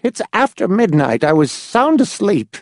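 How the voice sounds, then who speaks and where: A man's voice: sits high, very animated, unhurried, no room sound, clean studio sound, voice pushed up. A man voicing a tiny, frail old man who is suspicious and cranky, with a thin, quavering, whining voice. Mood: grudging. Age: older. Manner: fretful, snappish.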